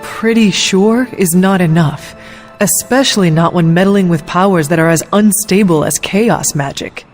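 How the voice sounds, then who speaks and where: A woman voicing an earnest, wary warrior in a video game, speaking in a formal, measured way. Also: lowish voice, lightly acted. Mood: wary, concerned. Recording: music bed behind the voice.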